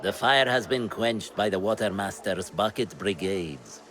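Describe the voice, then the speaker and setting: A man's voice: on the low side, animated, unhurried, music in the background. A man playing a proud, testy elderly scholar in a video game, pompous and theatrical. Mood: dismissive. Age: older. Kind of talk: video game cutscene acting.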